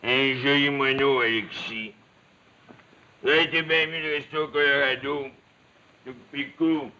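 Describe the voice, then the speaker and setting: Muffled old 1950s tv drama, a sly quebec mayor, folksy, falsely modest, talks real slow. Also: male voice, mid-range voice, fairly even intonation, pushed and bright. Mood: sly, goading. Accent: Quebec French. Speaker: actor playing a village mayor. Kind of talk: 1950s television drama acting. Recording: muffled, hissy 1950s television soundtrack.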